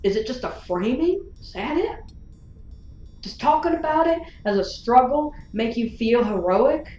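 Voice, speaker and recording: A woman playing a sharp-tongued, sardonic gunslinger in a science fiction audio drama, needling and wry. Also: on the high side, expressive, unhurried, reverberant, highs cut off, slight background noise. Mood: needling, challenging. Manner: needling, wry, rhetorical questioning.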